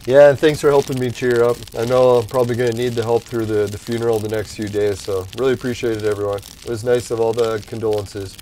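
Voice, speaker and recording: A man's voice: medium pitch, level tone, fast, few pauses, dark tone, slight background noise, poor audio. A man hosting a live stream, chatting casually with viewers in a rambling, laid-back way, laughing often and losing his voice. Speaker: livestream host.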